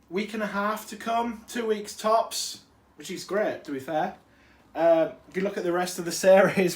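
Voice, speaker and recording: A man with a cold, chatting enthusiastically while unboxing a collectible figure and correcting himself along the way. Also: sits high. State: has a cold. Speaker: toy collector vlogger. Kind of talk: casual unboxing chat. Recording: roomy, distant mic.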